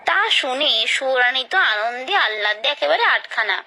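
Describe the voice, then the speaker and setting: A woman's voice: high-pitched, expressive, normal speed, sharp tone. A woman narrating a scripted children's story, expressively and directly addressing her young listeners.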